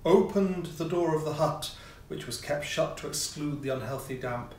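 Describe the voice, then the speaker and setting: Guy narrating an old ghost story, theatrical measured delivery, roomy echo, bit far from the mic. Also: a little high, darkish tone. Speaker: audiobook reader, amateur player. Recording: roomy echo, distant mic, faint noise.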